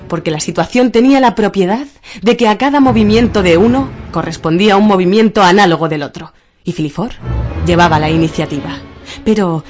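A woman narrating a radio drama in a dramatic, literary and deliberate style. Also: mid-range voice. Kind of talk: theatrical scripted narration of absurdist radio fiction. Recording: music bed under the voice.

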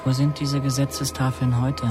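A man's voice: medium pitch, level tone, moderate pace, music in the background, close to an everyday voice. Man acting an earnest, brooding youth. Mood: curious. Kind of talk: radio play acting.

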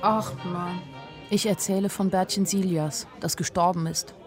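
A woman's voice: lowish voice, steady tone, a little slow, music in the background, close to an everyday voice. An actress in a radio play voicing an observant young woman who tells her story in an intimate, matter-of-fact way. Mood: weary. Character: observant, plain-spoken young woman narrating her life in 1930s Germany. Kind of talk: first-person radio play narration.

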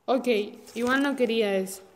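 Cheeky, sarcastic schoolgirl, acted by a woman, fairly high voice, reverberant space. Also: normal speed, natural voice. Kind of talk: amateur school radio play. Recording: reverberant hall-like room.

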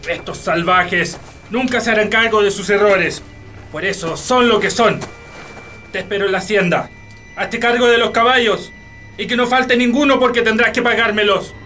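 A man's voice: high voice, normal speed, pushed and bright, slight background noise. A man with a Chilean accent playing a harsh, domineering landowner in a radio drama, commanding and threatening. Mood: furious, threatening.

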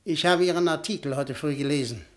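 A man's voice: on the high side, a little fast, a bit bright, natural voice. An actor playing a blunt, arrogant rich male industrialist who talks curtly and means business, in an old German radio play. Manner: curt, businesslike. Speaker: wealthy industrialist.

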